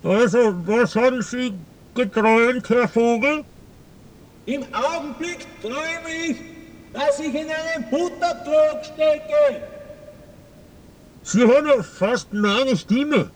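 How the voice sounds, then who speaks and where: An actor playing a flustered male radio show host in a radio play, with an Austrian accent and a halting, anxious delivery. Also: very high-pitched, lively intonation, full character voice. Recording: long cavernous reverb.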